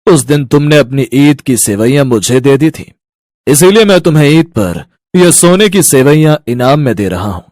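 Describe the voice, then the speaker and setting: A man's voice: medium pitch, expressive, normal speed, darkish tone, no room sound, studio quality, natural voice. A man voicing a benevolent divine voice in a storybook tale, solemn and kindly. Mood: solemn blessing. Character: benevolent divine voice rewarding a good woman.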